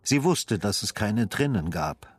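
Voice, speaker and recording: A male narrator tells a grave literary story for a radio play. His voice sits low, with a lot of pitch movement, and his delivery is measured and sombre. There is faint ambient noise in the background. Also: very animated.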